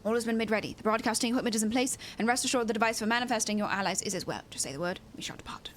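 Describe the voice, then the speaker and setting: Polite, formal chauffeur, high-voiced woman acting. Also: rapid-fire, a little hiss or hum, lightly acted. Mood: deferential. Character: courteous, formal chauffeur reporting for duty. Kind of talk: amateur voice acting of game dialogue.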